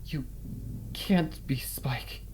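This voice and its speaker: A man's voice: mid-range voice, slow pace, slight background noise, natural voice. A man reading a horror fan fiction as a frightened, guilt-ridden female pony, in a shaky, dramatic manner. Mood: shaken dread. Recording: music under the reading.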